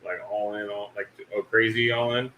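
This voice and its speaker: Bright-voiced rambling American-style host chat. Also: male voice, on the low side, little pitch movement, moderate pace, echoey room, rough recording. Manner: rambling, self-mocking, swears casually. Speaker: livestream host. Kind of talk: casual chat.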